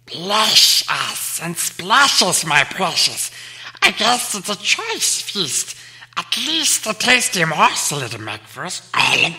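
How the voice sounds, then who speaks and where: A man voicing a sly, hungry cave creature that hisses and wheedles in drawn-out words, muttering to himself as he reads a storybook. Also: very animated, pushed and bright, full character voice, poor audio. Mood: hungry gloating. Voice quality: hissing, whispery, strained high voice.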